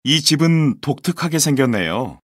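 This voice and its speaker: A man reading scripted textbook dialogues and sentences with clear, careful enunciation, in a dry studio recording. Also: on the high side, sing-song, normal speed, dry recording. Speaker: textbook audio voice actor.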